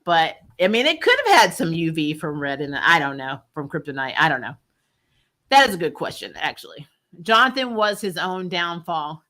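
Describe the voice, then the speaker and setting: A woman's voice: sits low, sing-song, moderate pace, bright, forceful tone. A woman hosting a casual recap livestream, talking informally and chattily about shows and viewer comments. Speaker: host of a TV recap show.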